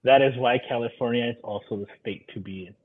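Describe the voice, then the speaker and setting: A man's voice: mid-range voice, quick pace, breathy edge. A man in his thirties speaking casually as a podcast guest, over dull, phone-like audio. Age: 30s. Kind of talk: casual conversation, giving encouraging advice.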